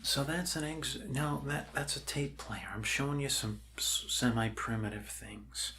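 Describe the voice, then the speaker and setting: A man doing soft, improvised ASMR roleplay as a bumbling, friendly doctor, rambling and apologetic in a scatterbrained way. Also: medium pitch, a little slow, a crowd in the background. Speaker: ASMR performer playing a doctor.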